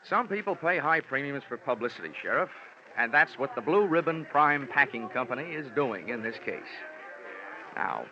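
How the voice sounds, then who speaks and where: A man's voice: medium pitch, big pitch swings, moderate pace, over music. An American man playing a wry, flippant insurance investigator in a mid-century radio detective drama. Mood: sardonic. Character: wisecracking, cool male freelance insurance investigator. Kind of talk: 1940s-50s radio detective acting with first-person narration. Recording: dull, noisy vintage radio recording.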